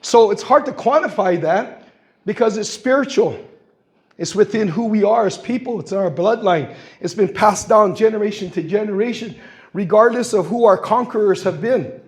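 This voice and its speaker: A man in his sixties from Guam, with a noticeably high voice, addresses a public forum. He tells stories in a plain, earnest way and acts out the voices of the people he quotes. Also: moderate pace. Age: 60s. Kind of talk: impassioned public comment. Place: public meeting hall.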